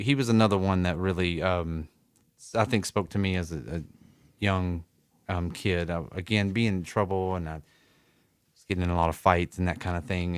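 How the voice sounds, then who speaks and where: A middle-aged man with a Southern American accent, a musician telling stories about his youth in a relaxed way, laughing often, in a music studio. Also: sits low, clean tone, dry recording. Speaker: musician guest. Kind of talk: casual interview.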